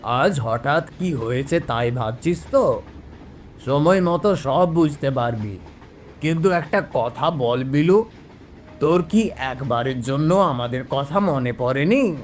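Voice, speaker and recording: A man voicing a grave, reproachful old grandfather with a heavy, cold voice and slow, solemn delivery in an eerie audio drama. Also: mid-range voice, expressive, a little hiss or hum. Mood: reproachful, ominous.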